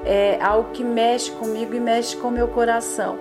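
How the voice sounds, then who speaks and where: A woman in her sixties speaking in a heartfelt, expressive way as a guest in a group radio talk. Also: on the high side, moderate pace, over loud music. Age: 60s.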